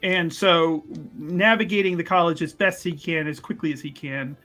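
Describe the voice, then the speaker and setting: A man's voice: on the high side, normal speed, pushed and bright, faint music behind. A man casually describing his character's moves as a tabletop game player, repeating words as he thinks. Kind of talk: casual improvised game talk.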